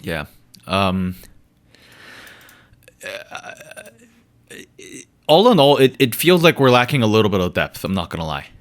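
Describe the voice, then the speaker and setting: A man with a low voice and a first language of Korean talks casually on a podcast, swinging his pitch up and down in a lively way. He rambles in a loose, profane manner full of fillers. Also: a little slow, long pauses. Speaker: podcast co-host. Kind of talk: casual chat.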